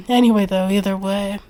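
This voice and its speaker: A woman's voice: medium pitch, measured pace, a little mellow. A woman performing an acted ASMR roleplay.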